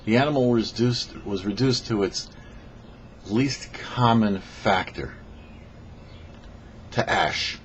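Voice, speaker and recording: A man reading a scripted religious teaching as a rabbi and show host, phrasing deliberately and emphatically with pauses. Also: on the low side. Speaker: rabbi, host of a weekly Torah portion show. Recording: dull lo-fi audio with faint noise.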